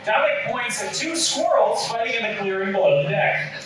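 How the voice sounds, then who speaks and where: A man's voice: sits high, very animated, brisk, fairly bright tone. A man reading stage directions as scripted narration at a live table read. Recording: echoey room, distant mic, clear noise.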